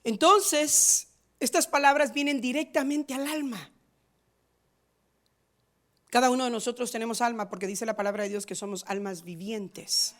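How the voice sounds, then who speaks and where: A woman pastor preaching a sermon in a rhythmic, repetitive style, moving between loud stressed lines and quiet asides. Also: a little high, fairly even intonation, normal speed, pauses often. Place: church in San Diego.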